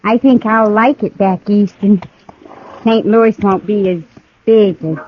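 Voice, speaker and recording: Actress as gentle frontier woman, muffled radio sound. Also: mid-range voice, slow, natural voice. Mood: wistful, grateful. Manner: polite, hesitant. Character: grateful, gentle frontier woman leaving town. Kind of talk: 1950s radio western acting. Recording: muffled old radio recording.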